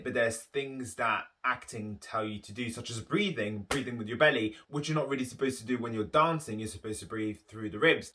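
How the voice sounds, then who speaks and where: A man's voice: mid-range voice, sharp tone. A young man with a London accent, chatting casually to camera as a video host, enthusiastic and explaining things in long run-on stretches. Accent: London English. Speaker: YouTube host.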